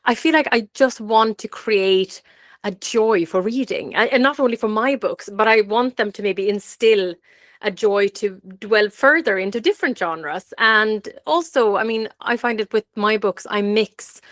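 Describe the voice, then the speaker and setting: A woman's voice: mid-range voice, unhurried, a bit bright, no echo, clean studio sound. A woman from Sweden, a non-native English speaker, chatting in a rambling, self-correcting way as an interview guest. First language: Swedish. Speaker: interview guest, fiction author. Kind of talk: casual conversational interview answers.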